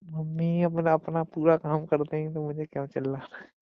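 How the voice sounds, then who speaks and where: A young man with a gravelly voice, chatting casually and intimately with his partner on a phone call. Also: on the high side, level tone, moderate pace, no echo. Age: young adult. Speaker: boyfriend. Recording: muffled lo-fi phone call recording.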